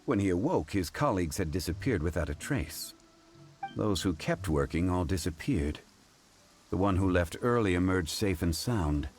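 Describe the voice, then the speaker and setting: A calm, learned man in a video game, formal and deliberate. His voice is very deep yet moves in a lively way. Faint music plays behind him. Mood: explaining.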